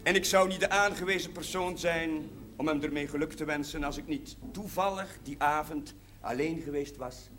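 An actor plays a jovial middle-aged man making a celebratory speech in a television drama. His voice is high, and he tells anecdotes with rhetorical swells. The old soundtrack carries faint noise. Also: normal speed, fairly bright tone, natural voice. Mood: proud.

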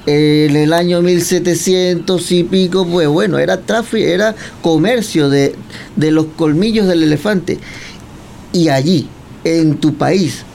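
A man's voice: on the high side, vocal fry, a little mellow, slight background noise. A man from Cuba, chatting and teasing as he questions a guest and tells long stories. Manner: chatty, teasing, drawn-out storytelling. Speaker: guest interviewer. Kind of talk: casual chat.

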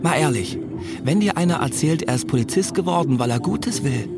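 Slangy, higher-voiced young man narrating. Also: expressive, brisk, over loud music. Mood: cynical, admiring. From East Germany. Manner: slangy, confiding. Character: streetwise young man from the estate, cocky admiring storyteller. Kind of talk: radio play narration.

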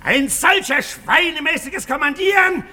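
An actor playing a furious middle-aged cavalry colonel, barking curses and commands in a German radio drama. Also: male voice, extremely high pitch, expressive, measured pace, sharp tone, exaggerated voice. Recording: noisy, long hall reverb.

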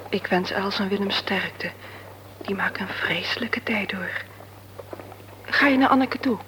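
An actress playing a candid woman visiting her aged father in a radio play, with a soft, confiding way of talking. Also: medium pitch, unhurried, background noise, natural voice. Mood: friendly.

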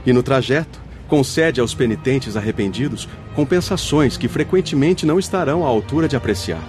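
A Brazilian actor in a radio drama playing a wise, patient spirit mentor, teaching in a solemn, formal manner. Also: male voice, mid-range voice, expressive, brisk, natural voice. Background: soft music.

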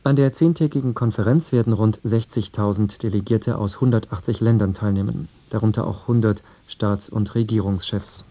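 A man reading a scripted radio news and weather bulletin in a sober, measured manner. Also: on the low side, warm tone. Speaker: radio newsreader.

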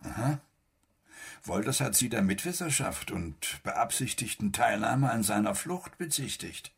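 Gravelly, menacing army major. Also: male voice, on the low side, animated, a little slow, exaggerated voice. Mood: cold, suspicious. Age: middle-aged. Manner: menacing, biting, insulting. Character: stern, threatening East German army major, embittered father. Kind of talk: German radio play dialogue.

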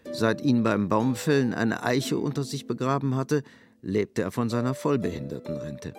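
A male narrator reads literary prose for an audio drama. His voice sits at a middle pitch. Music plays clearly behind him.

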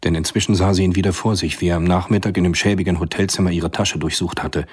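A man narrating a German radio play as a literary storyteller, with a husky voice. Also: sits low, fairly even intonation, fast.

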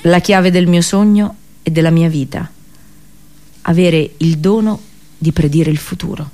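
A woman's voice: fairly low pitch, measured pace, dark tone, natural voice. Over background music comes a woman acting a bitter, accursed princess of a fallen city. She delivers a theatrical radio play monologue, solemn and intense, as a seer nobody believes. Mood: wistful narrating. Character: Cassandra, bitter accursed Trojan princess and prophetess.

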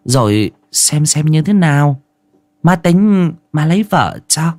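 A man's voice: on the high side, sing-song, takes it slowly, a little mellow, no room sound, voice pushed up. A man voicing a stern, controlling older mother of a rich household in an audio story, brusque and dismissive.

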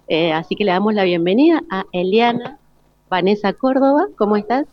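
A woman's voice: medium pitch, animated, normal speed. A woman with an Argentine accent presenting informally as a live radio host on location. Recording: dry, dull lo-fi broadcast.

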